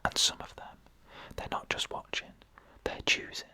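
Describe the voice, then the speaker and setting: A narrator telling a scary story in a breathy, unvoiced ASMR whisper, in short phrases with frequent pauses. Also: pushed and bright, dry recording.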